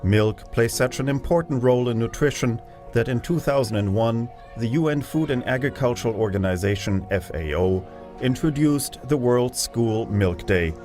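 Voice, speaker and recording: A man reading scripted documentary narration in a measured, polished way. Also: medium pitch, lively intonation, a little mellow, faint music behind.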